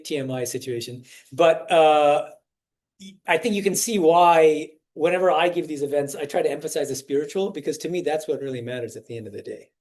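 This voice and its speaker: A man in his forties with a somewhat high voice leads a casual spiritual discussion with students. He talks conversationally, often restarting phrases and turning to quiz the people listening. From United States. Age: 40s. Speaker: swami giving a spiritual talk to students. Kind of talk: informal spiritual talk with audience questions.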